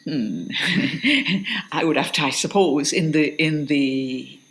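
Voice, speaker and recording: A woman's voice: sits low, slow pace, a bit bright. A middle-aged woman, a literature professor answering interview questions in a reflective, hesitant way. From Jamaica. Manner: thoughtful, hesitant, with restarts.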